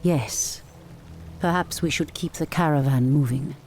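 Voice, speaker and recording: An actress playing a brave, compassionate night elf priestess and leader in a fantasy video game, formal and commanding. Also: female voice, low voice, a little slow, darkish tone, slight background noise. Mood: calm, caring. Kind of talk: dramatic video game cutscene acting.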